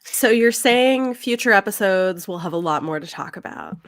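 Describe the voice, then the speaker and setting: A woman's voice: mid-range voice, lively intonation, fairly bright tone, dry recording. Casual woman co-host, very dry close sound. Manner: informal, halting, thinking aloud. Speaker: podcast co-host. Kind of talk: casual book discussion chat.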